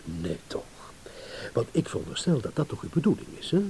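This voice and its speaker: An actor playing a self-assured, impatient philandering husband in a radio play, sardonic, blunt and mocking. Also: male voice, mid-range voice, very animated, a little fast, vocal fry, mellow. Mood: dismissive, confident. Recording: hissy old radio play recording.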